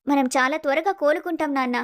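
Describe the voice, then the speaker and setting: Woman as a fast, high-voiced determined daughter. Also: close to an everyday voice. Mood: excited, confident. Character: determined, encouraging young daughter of mill owner. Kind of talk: dramatized moral story acting.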